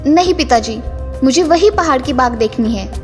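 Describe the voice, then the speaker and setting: Woman playing young naive girl in a cartoon story, high swoopy voice, big reverb. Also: expressive, normal speed. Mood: pleading, insistent. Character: young woman, naive daughter-in-law and stubborn pleading princess. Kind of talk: animated story dialogue. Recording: long reverb, music underneath.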